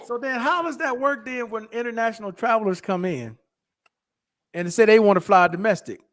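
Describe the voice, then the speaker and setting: A man with a notably high voice chats easily on a podcast. His talk is loose and slangy, full of "bro" and "dude," and he stays relaxed throughout. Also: moderate pace. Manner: laid-back slangy talk, calls people bro and dude. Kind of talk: casual chat.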